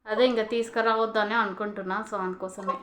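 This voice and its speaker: A woman's voice: mid-range voice, fairly even intonation, brisk, heavy background noise. Insistent Telangana woman on a casual call. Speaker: vlogger making a prank call to a friend. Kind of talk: casual phone conversation.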